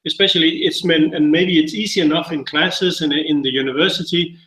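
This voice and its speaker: A man's voice: fairly high pitch, steady tone, brisk, a bit bright. A man, talking casually as a university panelist. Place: small office meeting room. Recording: echoey room on shared mic.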